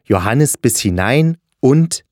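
A man's voice: mid-range voice, animated, slow pace, a little mellow, no room sound, clean studio sound. A man narrating a children's fairy tale in a patient, explanatory way.

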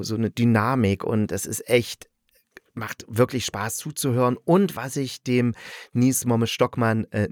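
A man's voice: medium pitch, no room sound, studio quality. A man giving a casual spoken review as a reviewer, talking in an enthusiastic, informal and rambling way. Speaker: radio play reviewer.

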